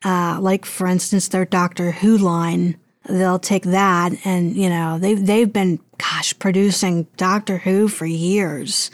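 A woman with a fairly low voice talks casually as a guest in an interview. Her delivery is hesitant and rambling as she searches for words. The sound is very dry, with no room echo. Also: fairly even intonation, slow. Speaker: interview guest, audio drama producer.

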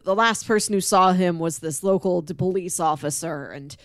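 A woman's voice: on the low side, normal speed, no echo, studio quality. A woman co-hosting a podcast, chatting casually and informally about books in a conversational way. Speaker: podcast co-host.

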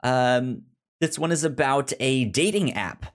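A man's voice: medium pitch, lively intonation, a little slow. A man hosting a casual review podcast, stammering and often repeating syllables and words as he talks. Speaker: podcast host.

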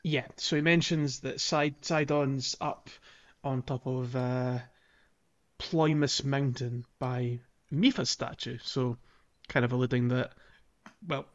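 A man's voice: medium pitch, steady tone, takes it slowly, muffled recording. A man co-hosting a podcast, explaining a game in casual chat with hesitant, thoughtful phrasing. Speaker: podcast co-host. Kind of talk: casual chat about a video game playthrough.

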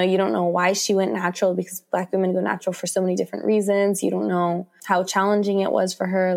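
An American woman speaks as an author in an interview. Her pitch is middling for a woman. She answers conversationally and reflectively, working out her thoughts aloud. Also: gravelly, darkish tone. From Washington DC, United States.

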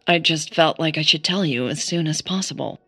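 A woman's voice: low-pitched, fairly quick, fairly bright tone, husky, no room sound, soft background music, exaggerated voice. A woman playing an awkward, earnest, chatty woman in an audio drama, hesitant in how she talks.

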